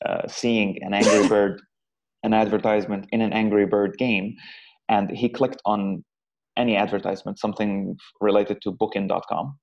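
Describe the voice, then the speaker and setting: A man's voice: on the low side, flat delivery, moderate pace, creaky, darkish tone. A young man from Syria giving a hesitant technical guest lecture over a video call, with many fillers and restarts. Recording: dull lo-fi remote audio.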